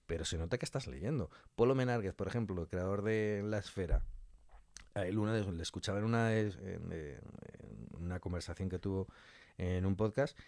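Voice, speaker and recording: Man, low voice, emphatic conversational guest, very dry recording. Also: normal speed, a little mellow, no echo. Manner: colloquial and emphatic, repeating key phrases and voicing hypothetical quotes. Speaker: interview guest, audio fiction director. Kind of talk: conversational interview answers.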